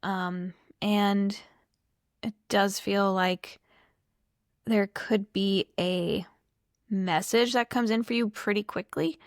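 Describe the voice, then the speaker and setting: A slightly hoarse woman giving a casual tarot reading to camera, chatty and reflective, thinking aloud as she goes. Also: mid-range voice, steady tone, takes it slowly, long pauses, dry recording, studio quality. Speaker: tarot reader.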